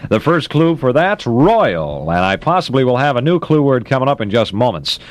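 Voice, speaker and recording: A man's voice: mid-range voice, big pitch swings, normal speed. A man working as a 1960s top-40 radio disc jockey in a studio, giving breezy, punning patter with the time and temperature between records.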